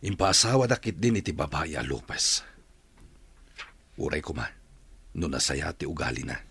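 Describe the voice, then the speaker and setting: A man's voice: rumbling low, big pitch swings, normal speed, gravelly, a bit bright, slightly rough, exaggerated voice. A man acting in a radio drama as a lovelorn man searching for his former sweetheart. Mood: wistful.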